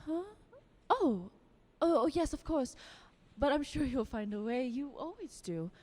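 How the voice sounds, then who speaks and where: A woman's voice: high-pitched, animated, a little slow, darkish tone. A woman playing a snobbish, art-loving society vampire in an audio drama, with an affected, languid and dismissive manner. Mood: dreamy, distracted.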